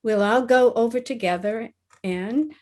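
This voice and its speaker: Scatterbrained teen schoolgirl played by a woman: high-pitched, naive, excitable, vintage radio sitcom style. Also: a little slow, close to an everyday voice. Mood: excited, anxious. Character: earnest, scatterbrained teenage schoolgirl, principal's daughter. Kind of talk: old-time radio comedy acting. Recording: remote video-call recording.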